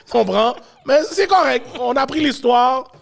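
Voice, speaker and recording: A man hosting a podcast in a joking, animated way, mixing French with English slang. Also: extremely high pitch, measured pace, gravelly. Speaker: podcast host. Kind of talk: casual podcast chat.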